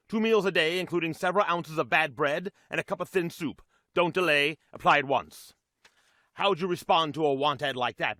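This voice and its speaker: A grave, theatrical 1940s radio celebrity, delivers an emphatic wartime appeal. His voice is bright and forceful and swings widely in pitch. The sound is very dry. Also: no echo. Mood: stern, emphatic. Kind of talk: 1940s radio acting, dramatic patriotic appeal.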